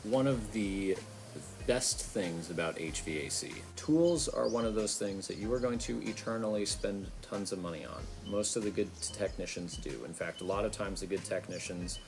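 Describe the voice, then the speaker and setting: A man's voice: lowish voice, moderate pace. A young adult man hosting a casual instructional video, talking to the camera about his trade. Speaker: host, HVAC technician. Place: outdoor covered patio by a garden. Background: background music.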